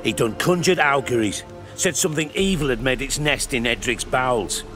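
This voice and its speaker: An actor playing a menacing rustic gang leader in a video game, with rough, ungrammatical country talk. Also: male voice, mid-range voice, moderate pace, over music, close to an everyday voice. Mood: hostile, aggrieved. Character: menacing, rustic village thug leading a small gang. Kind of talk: video game cutscene acting.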